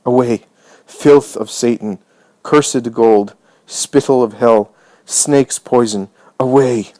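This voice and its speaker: A man reading aloud voices an adult male teacher condemning in a low, slow voice of controlled fury. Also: fairly even intonation, mellow, no room sound, lightly acted.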